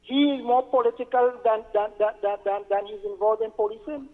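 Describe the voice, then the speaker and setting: Halting Trinidadian male caller on phone. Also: high voice, soft background music. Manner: halting, stammering repetition of words. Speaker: radio show caller. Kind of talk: earnest opinion on a phone-in. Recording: narrow phone line with reverb.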